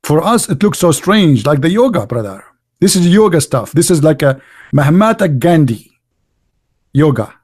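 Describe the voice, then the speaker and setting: A man's voice: on the high side, very animated, moderate pace, a little mellow, breathy edge. A middle-aged man hosting an online show, giving sarcastic, mocking commentary in non-native English with many restarts.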